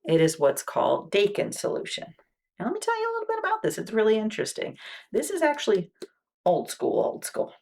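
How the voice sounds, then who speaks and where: A woman playing a wound care nurse in an ASMR roleplay, speaking softly and patiently, explaining each step and sometimes dropping to near-whispers. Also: mid-range voice, wildly swooping pitch, fairly quick, vocal fry. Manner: soft-spoken, patient explanations with frequent "okay"s; drops to near-whispers between lines.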